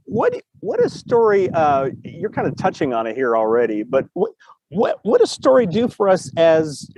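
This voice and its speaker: A man with a fairly high, husky voice hosts a casual interview. His pitch swings in a lively way, and he speaks effusively, restarting and repeating words as he goes. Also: no room sound, rough recording. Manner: effusive, stammering with repeated false starts. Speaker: podcast host.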